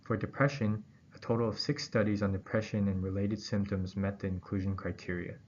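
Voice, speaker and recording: Low-voiced man reading a presentation, muffled recording. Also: fairly even intonation, fairly quick, a little mellow. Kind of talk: scripted academic presentation read aloud. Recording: dull, muffled audio with background noise.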